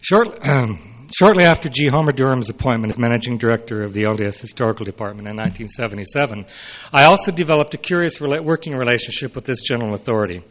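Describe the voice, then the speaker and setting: A mature man reads a prepared personal speech aloud in a measured way, with brief asides, as a historian addressing listeners. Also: medium pitch, a bit bright. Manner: measured, announces quote and unquote, brief unscripted asides. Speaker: historian giving a talk.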